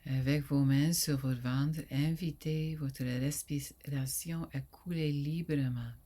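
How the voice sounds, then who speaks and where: A woman guiding a sleep meditation in a soft, soothing voice that often drops to a breathy near whisper, with long pauses. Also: low-pitched, steady tone. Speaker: yoga nidra guide.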